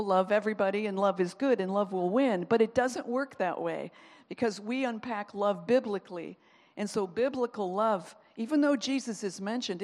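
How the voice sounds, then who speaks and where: Woman preaching in an echoing hall. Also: mid-range voice. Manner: animated, conversational preaching with quoted asides. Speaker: pastor. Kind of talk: sermon.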